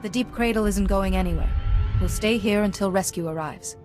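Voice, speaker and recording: A woman playing a calm, resolute Jedi in a video game, speaking in a composed and formal way. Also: medium pitch, fairly quick, soft background music, lightly acted. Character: calm, resolute female Jedi, selfless and dutiful.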